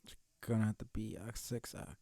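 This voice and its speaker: A man's voice: on the low side, level tone, normal speed, mellow, dry recording. A man chatting casually as a livestream host, in a laid-back, muttering, profane way on a close mic.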